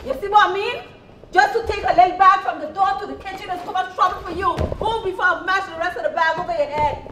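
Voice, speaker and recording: A woman's voice: very high-pitched, fast, bright, forceful tone. A woman playing a furious, scolding woman of the house in a confrontational stage melodrama. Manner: confrontational, fiery. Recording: echoey room, faint noise.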